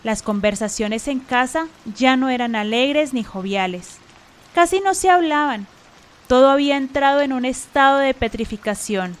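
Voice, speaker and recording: High-voiced woman narrating a radio drama. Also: moderate pace. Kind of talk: scripted radio drama storytelling.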